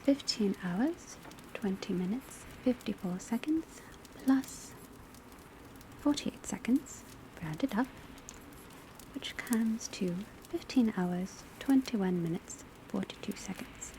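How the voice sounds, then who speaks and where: A woman playing a witch academy professor, lecturing in a soft, breathy ASMR voice, often whispered, in a measured and formal way. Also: mid-range voice. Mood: calm, instructive. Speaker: teacher. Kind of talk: ASMR classroom roleplay lecture. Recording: steady rain and crackling fire noise. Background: rain on rooftop, fireplace, pencil writing.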